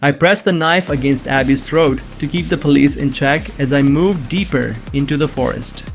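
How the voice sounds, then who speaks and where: A man's voice: on the high side, normal speed, soft background music. A young man giving earnest, scripted first-person narration of a suspense story. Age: young adult.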